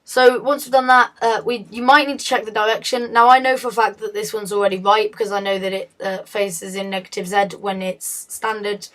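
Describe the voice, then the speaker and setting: A woman with a hoarse, fading voice, talking through a game tutorial in a chatty, self-correcting way. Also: mid-range voice, fairly even intonation, fairly quick, hardly any pauses, fairly bright tone. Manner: chatty, self-correcting, often laughs at slips. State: hoarse, losing her voice. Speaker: video tutorial host.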